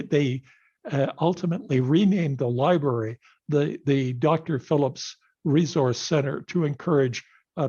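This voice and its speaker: Husky older man on a lo-fi call. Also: a little high, warm tone. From Canada. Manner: earnest, measured storytelling. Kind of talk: personal speech on a video call. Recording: dull lo-fi video call audio.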